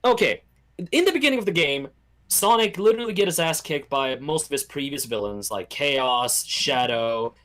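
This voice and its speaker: A man's voice: on the high side, moderate pace. Guy rambling opinions in a casual chat, voice goes up and down a lot, acts out quotes. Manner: animated, rambling storytelling with mimicked quotes. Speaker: podcast guest or panelist. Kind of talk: casual opinionated chat about video games.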